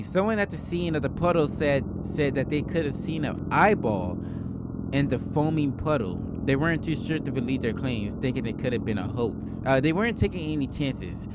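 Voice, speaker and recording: A man's voice: mid-range voice, heavy background noise. A man giving casual reaction commentary, reading text aloud and breaking in with slangy, informal exclamations. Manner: slangy, informal, exclaims between read lines. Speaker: host of a horror reaction video.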